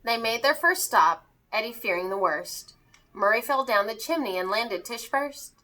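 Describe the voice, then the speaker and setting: A woman reading a rhyming children's story aloud in an animated, theatrical way. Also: fairly high pitch, normal speed. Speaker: teacher reading a picture book aloud to children.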